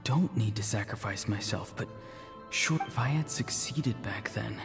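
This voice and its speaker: An actor playing a wistful, self-doubting young shaman apprentice with a soft, hesitant manner in a video game. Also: fairly low pitch, moderate pace, natural voice. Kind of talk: game voice acting. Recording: long reverb under music.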